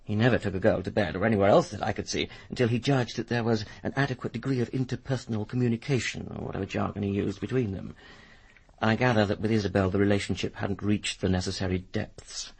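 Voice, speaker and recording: An actor playing a sardonic, impatient upper-class young Englishman in a British radio drama, with a gravelly, husky voice. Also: lowish voice, steady tone, fast, rough recording. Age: young adult. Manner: sardonic, articulate, dismissive.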